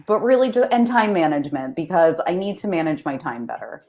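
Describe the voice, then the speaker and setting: A woman's voice: on the low side, animated, brisk, darkish tone. Woman co-host, slightly scratchy throat. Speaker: podcast co-host. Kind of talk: casual podcast chat.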